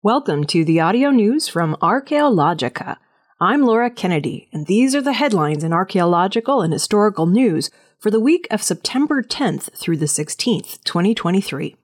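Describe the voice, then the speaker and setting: A woman working as a news reader, delivering scripted audio news narration. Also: medium pitch.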